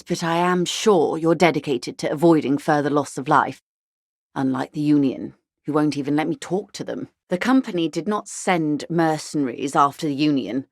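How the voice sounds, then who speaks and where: An actress voicing a polished, wry, middle-aged upper-class corporate negotiator in a video game, speaking in a composed, formal, diplomatic way. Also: female voice, deep voice, no room sound, clean studio sound. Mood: composed, measured, then cool, diplomatic. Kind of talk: video game character dialogue.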